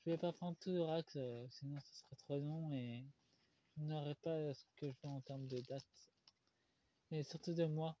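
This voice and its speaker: Casting: halting tarot reader with a stuffy cold, man, somewhat high and mellow voice. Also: fairly even intonation, a little hiss or hum. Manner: halting, with many pauses and "euh" fillers. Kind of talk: solo tarot card reading. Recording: dull lo-fi recording.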